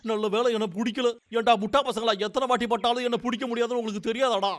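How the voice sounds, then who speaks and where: Fast, very high-pitched grumpy logger. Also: male voice, fairly even intonation, a bit bright. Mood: mocking. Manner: taunting, scolding, rapid. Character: grumpy, mocking cartoon logger villain, scornful and sly. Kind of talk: animated cartoon dub acting. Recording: music behind the voice.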